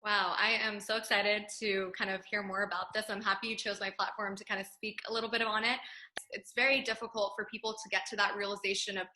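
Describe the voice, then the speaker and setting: A woman's voice: medium pitch, flat delivery, fast, sharp tone, reverberant, poor audio. A woman born in Pakistan and raised in Texas, hosting a podcast, affirming her guest and talking with many fillers. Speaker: podcast host. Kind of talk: casual interview talk.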